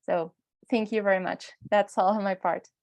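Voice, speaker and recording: A woman's voice: medium pitch, fairly even intonation, moderate pace, darkish tone. A woman from Mexico, giving an earnest presentation as a conservation educator on an online panel.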